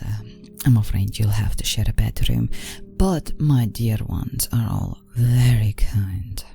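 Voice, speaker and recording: A woman voicing a genteel, doting vampire aristocrat in an ASMR roleplay, soft and breathy, coaxing, often sinking into a whisper. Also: extremely low pitch, exaggerated voice.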